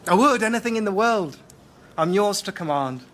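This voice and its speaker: An actor playing an earnest, devoted boyfriend who is easily exasperated, in radio sketch comedy. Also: male voice, high voice, animated, moderate pace, a bit bright, a little hiss or hum. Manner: earnest, incredulous.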